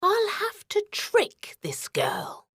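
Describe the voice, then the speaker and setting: Woman acting a storybook wolf villain, cunning and gluttonous, slow wheedling phrases, very animated, dry sound. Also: extremely high pitch, dry recording, whispered, voice pushed up, full character voice. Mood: uneasy, grumbling. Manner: sly, slow, drawn-out wheedling delivery.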